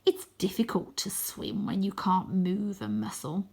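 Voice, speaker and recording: A woman voicing a gloating male scarecrow villain in a storybook, with a raspy, snarling voice and slow, sneering threats. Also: medium pitch, animated, a little mellow, exaggerated voice.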